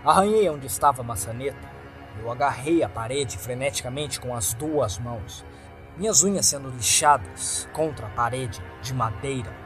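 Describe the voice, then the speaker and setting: A man's voice: medium pitch, normal speed, fairly bright tone, soft background music. A man with a Brazilian accent reading a scripted first-person horror story as its narrator.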